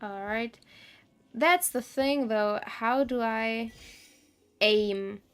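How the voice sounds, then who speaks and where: A woman's voice: a little high, extremely slow, clear, resonant tone. A woman game streamer giving casual live commentary, thinking aloud in a blunt, wry way.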